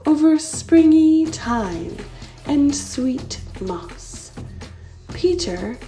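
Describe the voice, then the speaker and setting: A woman reading a children's story aloud with expressive, unhurried narration. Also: high voice, poor audio.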